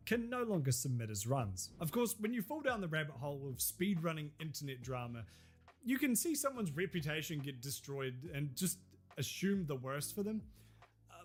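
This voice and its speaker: Man, fairly high, nasal from a cold, wry scripted narrator, faint music under him. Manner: wry, deadpan humor. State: stuffy head cold. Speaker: video essay narrator.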